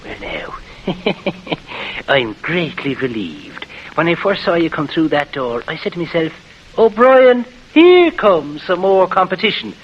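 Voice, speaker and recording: An actor playing a sly, genial, chatty Irish crook in a 1940s radio drama, with a coy, lilting Irish accent. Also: male voice, a little high, very animated, slow pace. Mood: relieved, affable. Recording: dull, noisy old radio recording.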